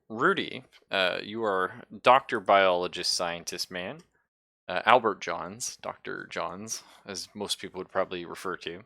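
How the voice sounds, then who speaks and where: Male game master, very low register, dry close sound, improvised descriptive narration with hesitant, informal phrasing. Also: sharp tone, no echo. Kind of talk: casual narration of a tabletop roleplaying game.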